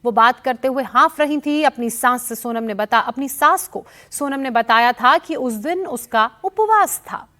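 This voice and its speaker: A woman's voice: sits high, expressive, measured pace, few pauses, sharp tone. A woman news presenter narrating a scripted report to viewers in an emphatic, explanatory way.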